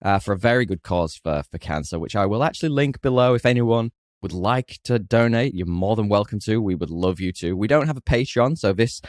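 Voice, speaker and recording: A hung-over man co-hosting a podcast, chatty and jokey, a bit raspy, talking to listeners. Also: fairly low pitch, lively intonation, a little fast, few pauses, no room sound, clean studio sound. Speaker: podcast co-host. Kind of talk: casual podcast chat.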